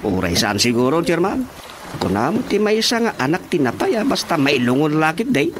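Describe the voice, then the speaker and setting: A man's voice: on the high side, sing-song, a little fast, noisy background. A man acting an evasive, flustered village carpenter in a radio drama comedy, hesitant and stammering, with an Ilocano accent. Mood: deferential. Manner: stammering, hesitant, nervous interjections.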